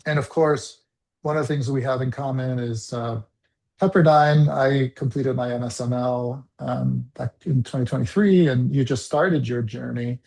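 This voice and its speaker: A man hosts a relaxed interview in a friendly tone. He searches for words and fills pauses with "uh" and "um." His voice sits at an ordinary middle pitch. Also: vocal fry. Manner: friendly, many fillers, searching phrasing. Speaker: interview host. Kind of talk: conversational interview.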